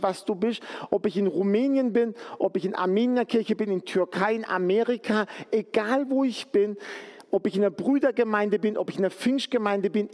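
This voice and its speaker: High-voiced man preaching in echoing hall. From Turkey. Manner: earnest, conversational, pleading at times. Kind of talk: sermon.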